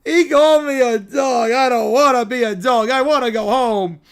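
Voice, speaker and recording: Man doing a frightened little kid, whiny, plaintive, slow, very high-pitched, cartoonish. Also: gravelly, smooth. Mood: whiny, upset. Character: whiny, frightened small child on a city trip.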